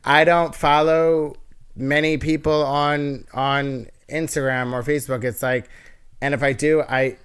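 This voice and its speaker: A man's voice: on the high side, little pitch movement, a little slow, fairly bright tone, smooth. A man with a nasal voice, a guest on a casual podcast, talking earnestly in a rambling way with many restarts. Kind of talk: casual interview chat.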